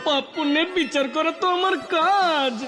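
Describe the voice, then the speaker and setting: A woman's voice: high voice, normal speed, lightly acted. A woman voicing a flustered, blustering male god-king of the underworld in a comic cartoon, exclaiming and whining in repeats. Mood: flustered, pleading. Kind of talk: exaggerated comic cartoon acting. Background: music.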